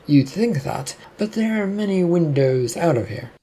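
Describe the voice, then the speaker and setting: A narrator voicing a breezy, husky, reckless man. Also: medium pitch, animated, a little slow, mellow, voice pushed up. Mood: breezy, unfazed.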